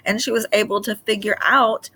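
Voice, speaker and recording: A woman's voice: mid-range voice, fairly even intonation, moderate pace, sharp tone, slightly rough. A woman reading a children's story aloud as a storybook narrator. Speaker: storybook reader.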